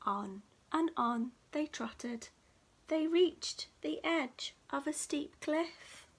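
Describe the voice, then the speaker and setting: A woman reading a picture book aloud to young children in an expressive, dramatic storytelling manner. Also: high-pitched, extremely slow. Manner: dramatic, with hushed whispers and gasps.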